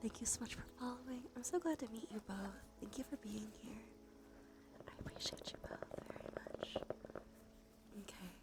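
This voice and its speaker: Whispering woman doing gentle ASMR. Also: a little high. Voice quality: whispery, breathy. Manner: gentle, slow repetition of phrases. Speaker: ASMR streamer, host. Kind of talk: ASMR, talking softly to live chat. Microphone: close sensitive ASMR microphone. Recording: soft music, crinkling behind. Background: music, crinkling and brushing sounds.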